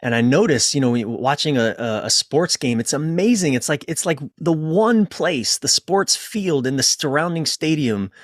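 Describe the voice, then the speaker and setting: A man's voice: on the high side, animated, normal speed, hardly any pauses, no room sound, clean studio sound. A man speaking as a coach being interviewed, informal and conversational, often repeating phrases and interrupting himself. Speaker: relationship coach, interview guest.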